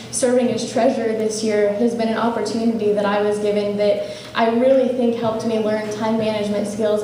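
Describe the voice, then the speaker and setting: A woman's voice: a little high, dark tone. A young woman running for student government president, answering debate questions in an earnest, eager way. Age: young adult. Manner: earnest, eager, speaks in fluent run-on sentences. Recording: echoey room from far off, faint noise.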